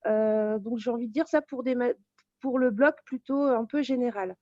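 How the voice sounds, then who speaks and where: Woman teacher walking thru a course, pretty high voice, informal, dry slightly muffled sound. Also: normal speed, a little mellow, no echo. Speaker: teacher presenting a real estate course. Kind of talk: informal explanatory presentation.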